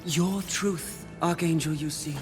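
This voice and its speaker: An actor playing a sly tempter demon of lust in a video game cutscene, with seductive, mocking, drawn-out phrasing. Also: male voice, a little high, steady tone. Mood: mocking, gleeful. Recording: loud music under the voice.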